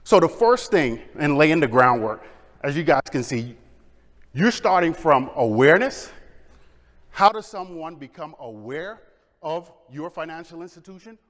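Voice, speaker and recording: A middle-aged man presents at a conference, full of energy and often polling the audience for a show of hands. His voice sits a little high for a man. A long reverb trails his words through the big hall. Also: unhurried. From Bahamas. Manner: energetic, engages audience with questions and shows of hands. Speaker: conference speaker.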